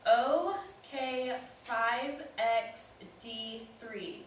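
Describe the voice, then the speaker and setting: A woman reading the stage role of an exasperated caller who over-enunciates and repeats herself to an automated phone menu. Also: on the high side. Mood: deliberate, strained patience. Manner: slow, deliberate, over-enunciated repetition. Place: indoor staged reading in a room. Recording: roomy, distant stage pickup, faint noise.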